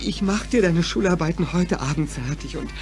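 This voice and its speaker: A man's voice: a little high, lively intonation, moderate pace, natural voice. Timid teenage boy, played by a man, submissive stammerer for audio drama, music underneath. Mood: nervous, appeasing. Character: timid, bullied teenage boy, eager to appease. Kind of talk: German audio drama acting.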